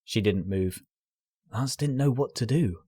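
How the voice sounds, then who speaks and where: A man reading a scripted story aloud as narrator, in an expressive, earnest and dramatic storytelling style. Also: mid-range voice, unhurried, husky, dry recording, clean studio sound.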